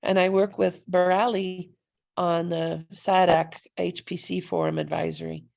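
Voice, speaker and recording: A woman's voice: fairly low pitch, expressive, measured pace, gravelly, a little mellow. A woman chatting enthusiastically on a video call, effusive and welcoming. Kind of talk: casual video call chat.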